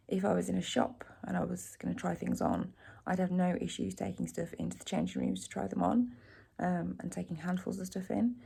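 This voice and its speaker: A woman in her forties, hoarse from a cold, chatting casually in a home vlog recorded on her phone, rambling with plenty of ums and likes. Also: on the low side, warm tone. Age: 40s. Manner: rambling, self-deprecating, many ums and likes. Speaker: vlogger. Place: living room at home. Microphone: phone camera.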